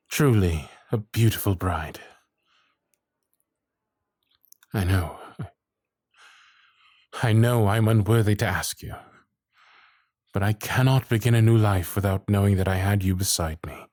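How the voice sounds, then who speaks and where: Man playing husky, tender young gentleman in love. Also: fairly low pitch, dry recording, clean studio sound. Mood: tender, hesitant. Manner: tender, halting, pleading. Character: earnest, lovestruck young gentleman, former servant, proposing. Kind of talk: romantic ASMR roleplay acting.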